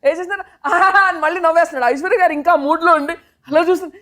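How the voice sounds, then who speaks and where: A woman's voice: very high voice, very fast, fairly bright tone. A woman with a Telugu accent chatting casually in a group interview, switching in and out of English. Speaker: film director in team interview.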